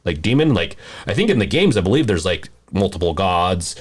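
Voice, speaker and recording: A man hosting a casual movie discussion podcast, talking in a halting, rambling way and often rephrasing mid-sentence. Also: low voice, big pitch swings, slightly rough. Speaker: podcast host.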